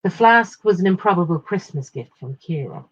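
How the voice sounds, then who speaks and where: A woman reading a literary first-person short story aloud in a measured, expressive way with pauses. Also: lowish voice, husky, lo-fi sound.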